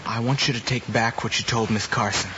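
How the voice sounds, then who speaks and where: A man's voice: mid-range voice, flat delivery, brisk, sharp tone, lo-fi sound, lightly acted. An actor with an American accent playing a troubled, guilt-ridden teenage boy, earnest and hesitant, in a hissy old television drama. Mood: anguished. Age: young adult. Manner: earnest, hesitant, clipped replies. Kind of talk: mid-century American television drama acting.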